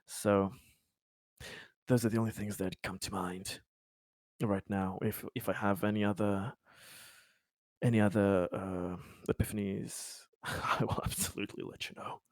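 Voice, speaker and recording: Man, tabletop game player, slow pace, low voice, stumbling and hesitant, improvised talk. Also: fairly even intonation, dry recording, studio quality. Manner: halting, hesitant, stammering with repeated words.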